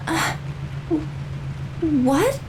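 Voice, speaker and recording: An actress playing a frightened young female conscript in an audio drama, halting and stammering, with ambient noise behind her. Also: high voice, very animated, exaggerated voice, low-quality recording. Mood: nervous confusion. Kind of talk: scripted audio drama acting.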